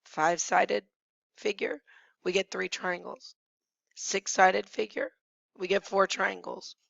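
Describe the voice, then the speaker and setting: A woman math teacher who has nearly lost her voice, hoarse and at times whispering, patiently talking through each step of a screencast lesson. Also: mid-range voice, flat delivery, takes it slowly, a bit bright, no echo. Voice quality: hoarse, gravelly, sometimes dropping to a whisper. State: losing her voice. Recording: dry, dull lo-fi screencast audio.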